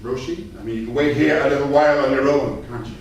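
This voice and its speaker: A man's voice: medium pitch, lo-fi sound, close to an everyday voice. A man playing a flirtatious hotel charmer in a staged reading, suave and patronising. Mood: coaxing. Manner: suave, coaxing, drawn-out. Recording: echoey theatre, distant mic, faint noise.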